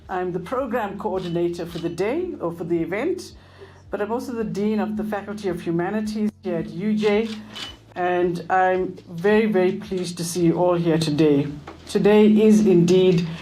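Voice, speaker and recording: Lady dean, lowish mellow voice, formal welcome speech. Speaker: university dean and event coordinator.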